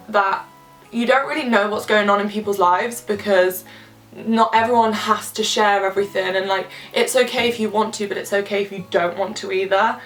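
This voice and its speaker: A woman's voice: medium pitch, fairly bright tone, far from the mic, low-quality recording. A young woman of about twenty, slightly stuffy from a cold, chatting candidly to camera with lots of filler words while she does her makeup. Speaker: vlogger. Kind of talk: casual chat to camera. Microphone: camera some distance away.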